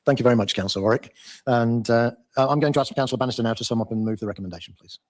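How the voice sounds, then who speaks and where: Hurried, hesitant man chairing a meeting. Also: mid-range voice.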